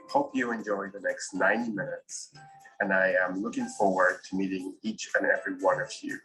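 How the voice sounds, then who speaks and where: A man's voice: deep voice, pushed and bright, low-quality recording. A man on an alumni board giving an upbeat recorded welcome message.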